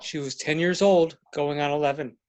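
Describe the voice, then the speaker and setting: Male narrator, lighter higher pitch. Reads a children's-style storybook aloud. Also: fairly even intonation, normal speed.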